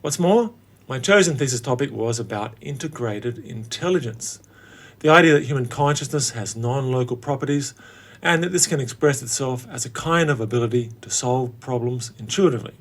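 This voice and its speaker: A man in his late forties narrating his own story as a host, in a wry, theatrical way with dramatic pauses. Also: mid-range voice. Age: late 40s. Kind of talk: scripted personal narration.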